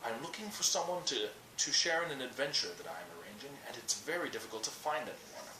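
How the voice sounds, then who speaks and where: A man's voice: medium pitch, lively intonation, fast, lightly acted. Man reading as a wry old wizard, roomy sound. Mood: businesslike. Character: wry old male wandering wizard, pedantic and direct. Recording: roomy, distant webcam mic with noise.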